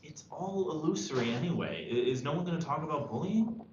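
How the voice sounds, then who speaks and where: In a dull, echoing room, a man plays an idealistic, troubled high school boy. His delivery is lively in pitch, earnest and quick to argue, as in a drama table read. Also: natural voice. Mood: frustrated. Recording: dull, echoey room with background noise.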